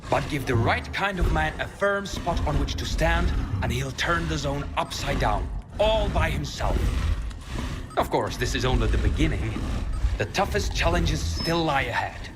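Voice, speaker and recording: An actor playing a grandiose, self-assured mad scientist in a game, speaking in a pompous, articulate way. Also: male voice, a little high, expressive, normal speed, over loud music, natural voice. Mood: proud, confident.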